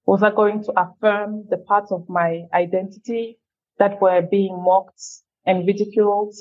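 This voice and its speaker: A woman from Cameroon, a guest answering interview questions in a thoughtful, measured way that quickens on asides. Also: mid-range voice, steady tone, phone-quality sound. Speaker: guest, feminist leader.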